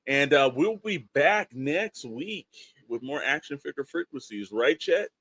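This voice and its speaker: A man's voice: fairly high pitch, expressive, a little slow, a bit bright, dry recording. A man co-hosting a podcast, chatting casually to listeners and promoting the show. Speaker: podcast co-host.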